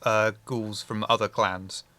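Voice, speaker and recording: Bright-voiced man explaining game lore. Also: fairly low pitch, flat delivery, measured pace, dry recording. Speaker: storyteller running the chronicle. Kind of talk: casual podcast chat.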